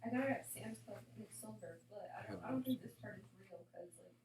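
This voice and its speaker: A woman's voice: mid-range voice, fast talker, gravelly. Young female student, distant in an echoey room. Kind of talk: casual chat. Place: classroom, far from the mic. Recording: distant, echoey classroom with faint noise.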